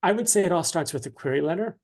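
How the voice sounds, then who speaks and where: A man answering interview questions casually, in a hesitant, halting and polite way. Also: high-pitched, expressive. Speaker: interview guest, dramatist and author.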